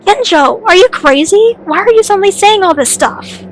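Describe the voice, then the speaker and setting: Woman playing a high, hot-tempered young student. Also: brisk, fairly bright tone, soft background music. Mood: outraged.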